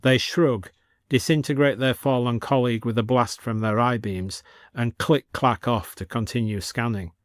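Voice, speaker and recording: British guy reading a story, mid pitch, scripted narration, really dry close sound. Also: steady tone, normal speed, no room sound.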